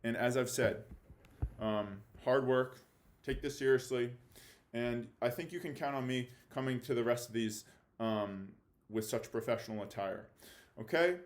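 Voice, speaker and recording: A man's voice: medium pitch, measured pace. A man teaching fifth graders in an informal, colloquial way, often checking in with his listeners. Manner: colloquial, with check-in tags like "right?". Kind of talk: informal lesson addressed to students.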